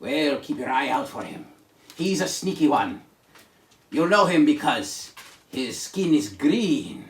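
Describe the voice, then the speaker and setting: Slow-paced, higher-voiced man hosting children's storytime. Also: big pitch swings. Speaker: children's storytime host and singer. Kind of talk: children's show presenting and singing.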